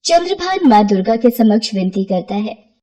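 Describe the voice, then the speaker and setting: A woman narrating a scripted bedtime moral story in an expressive storytelling manner. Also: medium pitch, normal speed.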